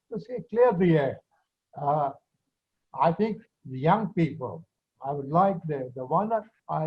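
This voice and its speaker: A man speaks hesitantly with frequent pauses, his voice set a little higher than most men. The call audio sounds muffled and lo-fi. He comes across as someone thinking aloud in a meeting. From Pakistan. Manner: halting, many filler pauses. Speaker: meeting participant. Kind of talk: video call discussion.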